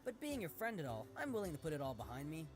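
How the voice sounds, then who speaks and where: A man's voice: fairly high pitch, wildly swooping pitch, fast talker, music in the background. A man playing an easygoing, friendly young detective in an anime visual novel.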